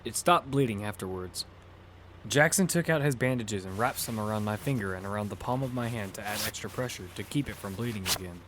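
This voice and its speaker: A man's voice: fairly low pitch, fairly quick, fairly bright tone, slight background noise, lightly acted. A man acting a weary, grieving widowed father in a survival audio drama. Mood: weary narration. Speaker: narrator and lead actor. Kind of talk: dramatic first-person audio fiction.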